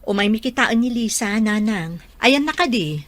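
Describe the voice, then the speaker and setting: A woman's voice: fairly high pitch, lively intonation, normal speed, fairly bright tone. A woman with an Ilocano Filipino accent playing a concerned adult mother in an audio drama. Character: concerned adult mother, Mara, worried about daughter.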